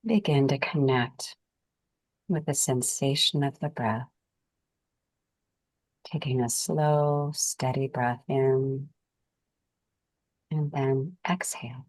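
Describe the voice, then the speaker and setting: A woman leading a guided meditation as its guide, speaking calmly and soothingly in gentle, unhurried phrases with pauses. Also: rumbling low, no echo, rough recording.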